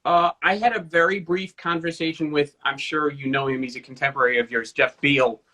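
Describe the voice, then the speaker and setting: Male host, somewhat high voice, warm fan energy, self-deprecating wit. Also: steady tone, fairly quick. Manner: enthusiastic, self-deprecating humor. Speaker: interview host. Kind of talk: casual interview chat. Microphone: video call.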